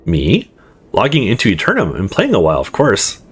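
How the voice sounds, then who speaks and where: Guy doing an oddball gamer buddy, laid back and blunt, bit husky, visual novel. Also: lowish voice, wildly swooping pitch, normal speed, exaggerated voice. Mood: breezy. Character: weird but loyal young male gamer friend.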